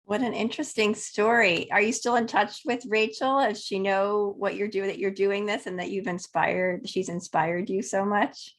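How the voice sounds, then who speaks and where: A woman's voice: medium pitch, steady tone, normal speed, hardly any pauses. A woman co-hosting a podcast, presenting and interviewing a guest. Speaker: podcast co-host. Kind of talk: scripted introduction and interview hosting.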